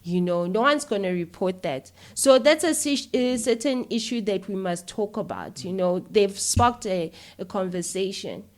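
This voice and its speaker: A woman's voice: medium pitch, normal speed, few pauses. A woman with a South African accent talking casually and opinionatedly as a podcast guest, emphatic and often checking that the listener follows. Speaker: podcast guest, filmmaker and YouTuber. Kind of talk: casual, opinionated interview talk.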